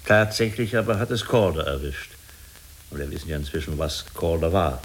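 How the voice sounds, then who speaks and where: An actor playing a puzzled, probing director in a German radio drama, questioning in a conversational way. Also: male voice, sits low, lively intonation, a little fast, slight background noise. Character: male space-agency director, probing, puzzled inquiry leader.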